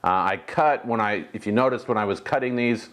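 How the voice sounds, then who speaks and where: A man's voice: on the low side, fairly quick, a bit bright. An American man hosting a fabrication vlog, talking casually in a hesitant, rambling, laid-back way. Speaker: host of a metal fabrication how-to channel. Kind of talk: casual workshop vlog talk.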